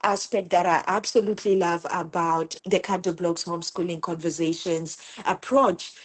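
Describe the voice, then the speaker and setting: A woman from Zimbabwe, a homeschooling mother, talking in a casual interview and telling her stories earnestly and with feeling. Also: lowish voice. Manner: earnest, animated storytelling with repeated words.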